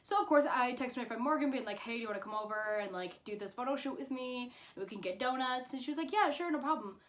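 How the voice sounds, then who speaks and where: A woman's voice: on the high side, lively intonation, quick pace, fairly bright tone. A woman in her twenties telling a personal story casually, in a chatty, informal way with dramatic emphasis. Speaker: YouTube storyteller.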